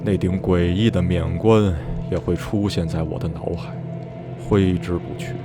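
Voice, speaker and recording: Haunted storyteller, male actor, low register, brooding and measured with feverish intensity, horror radio drama over music. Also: steady tone, natural voice. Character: male narrator recounting eerie memories, obsessed and haunted. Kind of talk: dramatic radio play narration.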